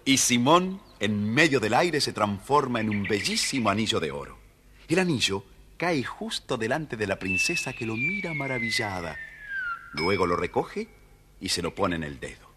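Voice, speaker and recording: A man narrating a children's story from a script in an expressive, dramatic storytelling manner. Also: fairly low pitch, normal speed, very noisy.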